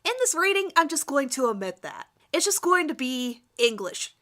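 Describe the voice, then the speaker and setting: Sarcastic woman, bright and very animated. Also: high-pitched, normal speed. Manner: self-mocking, sarcastic. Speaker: fan fiction author and reader. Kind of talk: casual introduction to a dramatic reading.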